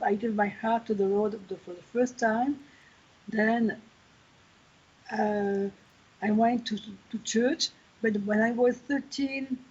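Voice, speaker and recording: A woman in her sixties, raised in Algeria and France, answering questions as an interview guest, pausing often to find her words. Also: on the high side, darkish tone, husky. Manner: thoughtful, pauses to search for words. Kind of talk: video call interview. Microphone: video call. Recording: lo-fi video call audio.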